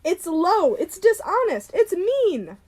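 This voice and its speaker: Actress as a high-pitched, quarrelsome young gentlewoman. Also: lively intonation, normal speed. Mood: furious. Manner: emphatic, argumentative, theatrical. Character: stubborn, quarrelsome young landowner's daughter, quick to flare up. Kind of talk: stage comedy acting.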